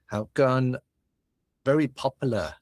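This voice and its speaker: A man's voice: medium pitch, slow pace, a little mellow, dry recording. A man in his forties from Hong Kong with a mixed Australian, British and Cantonese accent, chatting expansively as a podcast guest. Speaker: guest, business founder. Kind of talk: casual interview chat.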